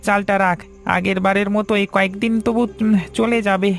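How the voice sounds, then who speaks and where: A village neighbour in a cartoon folk drama. He has a very high male voice and talks in a kindly, solicitous way, with faint music underneath. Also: little pitch movement, a little fast, gravelly, a bit bright. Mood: gentle, concerned. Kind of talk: Bengali cartoon drama acting.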